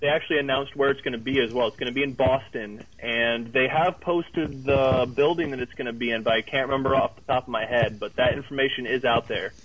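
A man with a mid-pitched voice talks informally as a guest on a podcast. He recounts things in a casual, slightly hesitant way, like someone reporting back to friends. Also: fairly even intonation, brisk, few pauses, a bit bright, breathy edge, dull audio. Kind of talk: casual chat.

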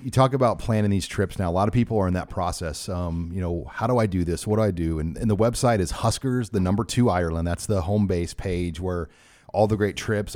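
A man's voice: deep voice, darkish tone, no echo, clean studio sound. A middle-aged man hosting a sports talk show, asking questions in a chatty, enthusiastic way. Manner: enthusiastic, chatty, some stumbles. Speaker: radio show host.

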